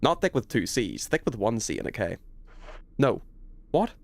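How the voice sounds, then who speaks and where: A man's voice: medium pitch, big pitch swings, moderate pace, creaky, dry recording. A young adult man livestreaming a video game, chatting casually and playfully with his viewers, teasing and swearing lightly. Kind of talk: casual chat while playing a horror game, reading and answering chat.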